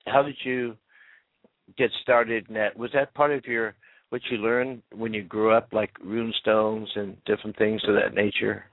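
A man hosting a radio interview, asking questions in a halting way that repeats itself. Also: fairly low pitch, level tone, no echo. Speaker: radio show host. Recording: dry phone-line audio.

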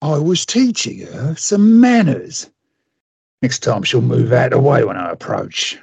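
A man playing a brutal, sneering, menacing villain in a radio play, with a gravelly voice. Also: medium pitch, big pitch swings, a little slow, a little mellow, heavily acted.

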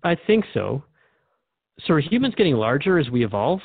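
A man's voice: a little high, very animated, normal speed, husky, no echo, heavily acted. A man playing a thoughtful, mildly skeptical radio interviewer in a scripted drama, speaking in a reflective, questioning way. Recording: narrow phone-like band, dry.

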